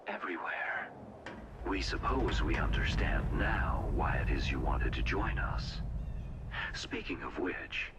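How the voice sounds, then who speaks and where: The unhurried, swooping, pompous voice that a condescending bunker computer would pipe to new recruits in a video game. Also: male voice, a bass voice, dull audio, heavily acted. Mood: smugly courteous. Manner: pompous, formal, speaks as a royal we. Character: courtly, condescending male artificial intelligence running a bunker. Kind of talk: scripted video game dialogue.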